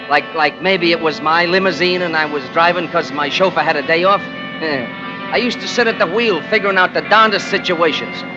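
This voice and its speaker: Guy playing slangy new cabbie turned gag writer, bitter schemer, highish voice, muffled 1940s radio drama. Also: natural voice. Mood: bitter, reminiscing. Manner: slangy, wisecracking. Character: bitter, scheming working-class former cab driver turned gag writer. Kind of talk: 1940s radio drama acting, first-person narration and dialogue. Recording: muffled old radio broadcast with music.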